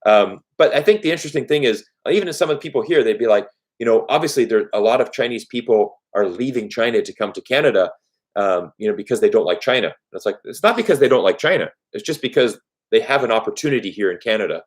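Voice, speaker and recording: A man, raised speaking German first, hosts a live-stream Q&A at a medium pitch. He talks earnestly and off the cuff, circling back on his phrases and filling gaps with "you know". His delivery is sincere and conversational. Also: expressive, quick pace. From United States. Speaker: YouTube host.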